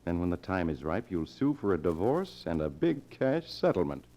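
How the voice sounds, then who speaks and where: An actor playing a sly, calculating swindler who poses as a brother-in-law in an old radio drama. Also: male voice, low voice, very animated, moderate pace, over music. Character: scheming male swindler posing as brother-in-law, calculating, smooth-talking. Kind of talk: golden age radio drama acting. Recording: muffled old radio show recording.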